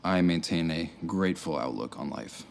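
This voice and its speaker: A man playing a dependable handyman and receptionist who talks in a clipped, deadpan way in an audio drama. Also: rumbling low, normal speed, creaky, heavily acted.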